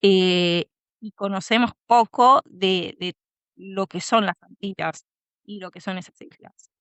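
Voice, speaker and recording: A woman from the Dominican Republic, a guest in an interview, talking thoughtfully and pausing to find her words. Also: medium pitch, a bit bright, clean tone, dry recording. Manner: thoughtful, pauses to search for words. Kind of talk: conversational interview.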